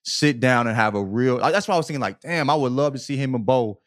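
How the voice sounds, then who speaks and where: A man's voice: mid-range voice, expressive, fast talker. Casual, slangy man on a podcast. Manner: repetitive, emphatic, slangy, peppered with check-in tags. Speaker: podcast co-host. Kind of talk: casual opinionated podcast chat.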